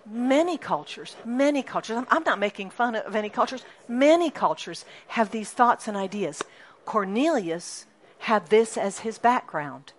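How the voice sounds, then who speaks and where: A middle-aged woman pastor preaching a sermon in a lively, chatty way, often asking the congregation questions. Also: on the high side, measured pace.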